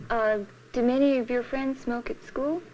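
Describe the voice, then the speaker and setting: Higher-voiced woman interviewer. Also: fairly even intonation, moderate pace, darkish tone, muffled recording. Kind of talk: plain documentary interview questioning. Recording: 1970s film interview, faint noise.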